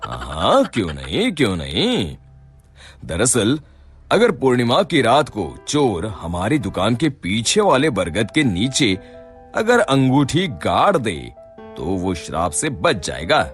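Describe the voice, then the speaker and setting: A man playing a shrewd, wealthy shop boss in a Hindi moral-story cartoon, with a measured, theatrical way of talking. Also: fairly low pitch, huge pitch swings, husky, soft background music, exaggerated voice. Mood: knowing, stern.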